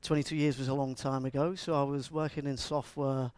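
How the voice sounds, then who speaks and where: A man's voice: medium pitch, level tone, brisk, darkish tone, smooth, no room sound. Scouse man giving a chatty conference talk. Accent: Scouse (Liverpool). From Liverpool, England. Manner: chatty, jokey, asks the audience questions. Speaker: conference speaker and agile coach. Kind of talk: live conference talk to a room.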